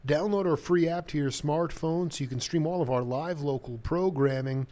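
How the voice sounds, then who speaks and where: A man's voice: a little high, normal speed. A man hosting a live radio talk show, rambling easily and calling musicians "cats" as he introduces and questions a guest. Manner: relaxed, rambling, jazz slang like "cat". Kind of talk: loose conversational radio interview.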